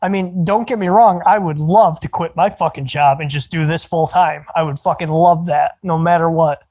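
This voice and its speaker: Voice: mid-range voice, steady tone, moderate pace, darkish tone. A podcast host in their twenties, talking loosely in casual chat, swearing and laughing often. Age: 20s.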